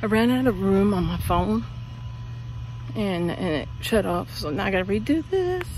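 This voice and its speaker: A woman's voice: medium pitch, animated, normal speed, a little mellow, slight background noise, low-quality recording. A middle-aged woman vlogging while walking outdoors at night, talking in a rambling, chatty way with lots of fillers. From Pennsylvania, US. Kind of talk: casual walking vlog. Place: outdoors on a suburban street at night. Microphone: earbud mic, phone held at arm's length while walking.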